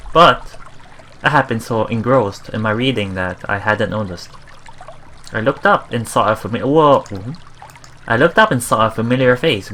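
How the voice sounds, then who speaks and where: Guy doing a visual novel lets play, slow n bright voice, reads lines then muses, bit of water noise behind. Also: medium pitch. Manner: halting, musing reactions. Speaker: let's play host. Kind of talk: reading game text aloud with casual commentary.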